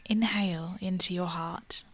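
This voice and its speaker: A woman guiding a gratitude meditation in a slow, soft, soothing voice that drops to a breathy near-whisper at times. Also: fairly low pitch, steady tone, a little hiss or hum. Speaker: meditation guide. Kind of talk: guided meditation.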